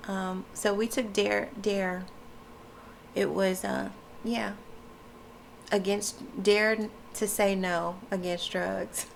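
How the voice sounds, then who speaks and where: Woman vlogger, congested and froggy, slow flat delivery, faint background noise. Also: medium pitch, creaky. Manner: chatty, loose, many fillers, laughs often. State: stuffy nose, froggy voice. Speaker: wellness vlogger. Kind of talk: casual talk to camera. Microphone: camera mic.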